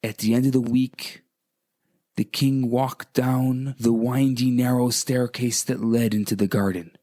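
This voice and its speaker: A man, a rabbi on the radio, telling stories with deliberate phrasing and dramatic repetition. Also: medium pitch, steady tone, dark tone. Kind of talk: conversational radio storytelling.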